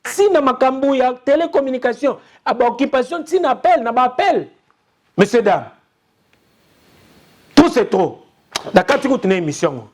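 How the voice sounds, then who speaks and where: A man's voice: very high-pitched, lively intonation, moderate pace, pauses often, a little mellow. A young man with a Congolese accent giving urgent, insistent news commentary and repeating his appeals to listeners. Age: young adult. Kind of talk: impassioned commentary.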